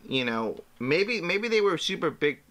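Informal man with a bright voice. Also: a little high, big pitch swings, measured pace. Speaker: podcast co-host. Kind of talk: casual chat.

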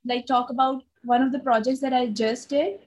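A woman's voice: high voice, fairly even intonation, normal speed, darkish tone, dull audio. A woman from Pakistan, an artist guest on a video call interview, talking casually with lots of fillers and restarts. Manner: rambling with many fillers and restarts.

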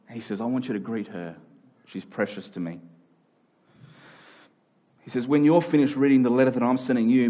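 A man's voice: medium pitch, a little mellow, highs cut off. A man speaking as a pastor, giving an earnest sermon to a congregation in a conversational, informal way.

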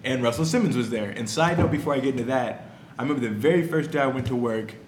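A man chatting casually and telling anecdotes in slangy, profane language, recorded in an echoey room with faint rumble. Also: fast.

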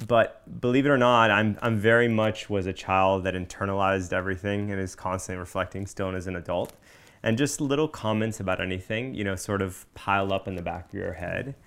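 A man with a deep voice speaks candidly about his own life. There is a clear creak in his tone, and he talks in a reflective, conversational way. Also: fairly bright tone. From Miami, United States. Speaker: storyteller sharing a personal coming-out story. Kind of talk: candid personal storytelling.

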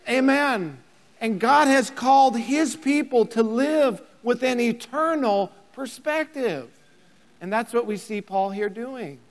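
A man in his thirties preaching a sermon as a pastor, building to emphatic near-shouting. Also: very high voice, in a large hall. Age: 30s.

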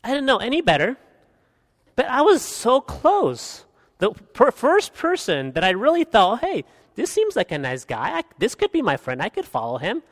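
A man in his thirties from Guatemala, preaching an expository sermon as pastor, earnest, swinging from emphatic peaks to quiet asides. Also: extremely high pitch, wildly swooping pitch, moderate pace. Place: church hall. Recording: long hall reverb.

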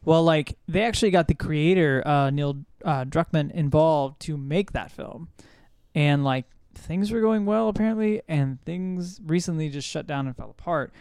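Male panelist with a slightly high, smooth voice, dry recording, conversational podcast delivery. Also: normal speed, a little mellow, no echo. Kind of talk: casual group discussion.

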